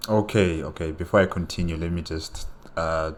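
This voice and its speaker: A man with a fairly low voice gives casual reaction commentary. He talks haltingly, repeating himself while he looks for the next word. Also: steady tone, a little hiss or hum. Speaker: reaction video host.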